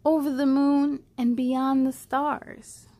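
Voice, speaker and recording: A woman in her thirties reading aloud to children as a storytime host, with an encouraging, animated manner and simple phrasing. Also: high-pitched, takes it slowly. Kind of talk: children's read-aloud, addressing young listeners.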